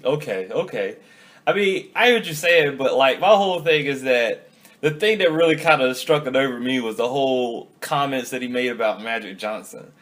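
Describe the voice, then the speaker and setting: A man hosting a casual podcast, talking in a relaxed, loose way with lots of fillers. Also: mid-range voice, normal speed. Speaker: podcast host. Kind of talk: casual chat.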